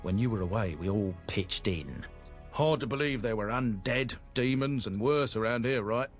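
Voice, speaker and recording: A man's voice: fairly low pitch, expressive, moderate pace, natural voice. An actor playing a friendly, earnest merchant who is proud of his family, in a fantasy video game, with music behind him. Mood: welcoming, proud.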